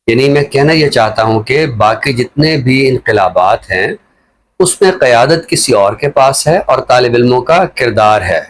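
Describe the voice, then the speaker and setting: Pakistani man giving measured, explanatory commentary. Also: mid-range voice. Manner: measured, explanatory, builds points through repeated parallel phrases. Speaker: guest commentator answering a host's questions. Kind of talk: thoughtful spoken analysis in an interview.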